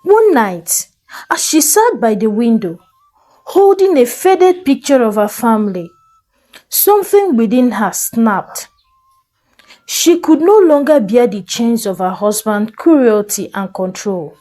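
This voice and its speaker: A woman storyteller with a fairly high voice. She narrates a folktale slowly and deliberately, with lively swings of pitch and a soft, mellow tone. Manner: slow, expressive, deliberate storytelling. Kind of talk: dramatic scripted folktale narration.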